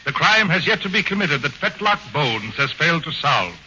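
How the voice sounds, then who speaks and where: A man's voice: a little high, very animated, moderate pace. A man narrating a 1940s radio comedy sketch in a grandiose, melodramatic manner. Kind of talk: mock-dramatic radio narration. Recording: dull old radio broadcast, faint hiss.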